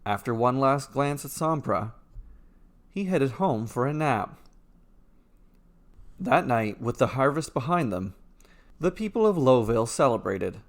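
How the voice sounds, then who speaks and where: A man reading a scripted fairy tale as its storyteller and narrator. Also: medium pitch. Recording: music playing behind the voice. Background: instrumental music.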